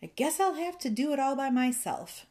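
An indignant little male fairy from a storybook, acted out by a woman reader. The voice is high and emphatic, scolding and bossing in exclamations. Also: sing-song, moderate pace, darkish tone. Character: indignant, bossy young male fairy with golden wings. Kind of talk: children's storybook character voice.

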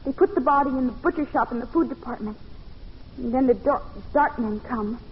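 An actress playing a timid, sheltered young maid held captive, naive and melodramatic in old-time radio style. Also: female voice, high voice, a little fast, a little hiss or hum, close to an everyday voice. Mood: dread. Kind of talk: 1940s radio acting. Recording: muffled, fuzzy old-time radio recording.

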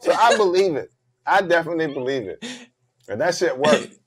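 Casting note: male comedian host, slightly high-pitched voice, relaxed slangy banter. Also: fairly quick. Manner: loose, joking, slangy delivery. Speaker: podcast host. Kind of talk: casual comedic chat.

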